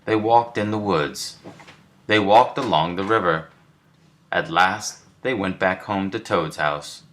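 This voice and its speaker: A man with a deep voice reads a children's story aloud. His delivery is very unhurried and deliberate, suited to bedtime. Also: a bit bright. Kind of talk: storybook narration.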